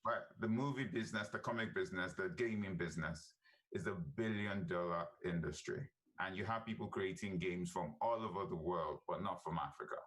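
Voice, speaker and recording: Low-voiced man. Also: a little fast, a bit bright, low-quality recording. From Nigeria. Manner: emphatic, often ending points with "right?". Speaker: panelist, comic company head. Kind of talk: virtual panel discussion.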